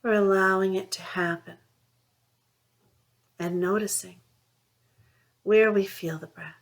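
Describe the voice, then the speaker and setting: A woman leading a guided mindfulness meditation, speaking in a soothing, unhurried way with long pauses between phrases. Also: mid-range voice, steady tone. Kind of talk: guided meditation, gentle instruction.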